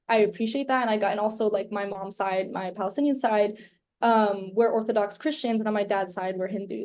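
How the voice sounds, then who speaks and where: A woman's voice: mid-range voice, a little mellow. Chatty young woman, podcast guest. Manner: chatty and rambling, leans heavily on "like" and "um". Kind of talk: casual conversational interview.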